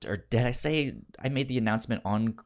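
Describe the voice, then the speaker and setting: A man's voice: mid-range voice, a little fast. A man hosting a podcast in a casual, chatty style, often stammering and repeating words and syllables as he goes. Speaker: podcast host.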